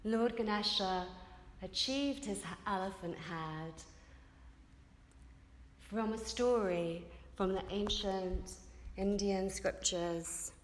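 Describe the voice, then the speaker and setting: A woman leading a guided meditation as a yoga teacher, telling stories in gentle, unhurried short phrases, recorded in a reverberant studio. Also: medium pitch. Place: indoor yoga space during a group evening session.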